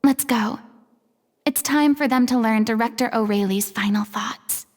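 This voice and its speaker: A woman's voice: fairly high pitch, a little slow, darkish tone, close to an everyday voice. A woman voicing a confident, earnest young mentor of performers in a video game, with grand, theatrical phrasing. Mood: tender, subdued. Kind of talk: dramatic video game voice acting.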